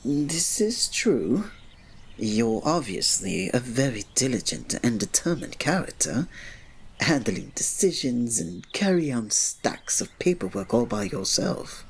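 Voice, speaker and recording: A man playing a reserved, devoted royal servant in an ASMR romance roleplay, speaking softly, politely and formally with a breathy voice. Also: mid-range voice, very animated, unhurried, full character voice. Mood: earnest, questioning. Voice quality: soft, breathy. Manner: soft, polite, formal, restrained, often dropping to a breathy murmur.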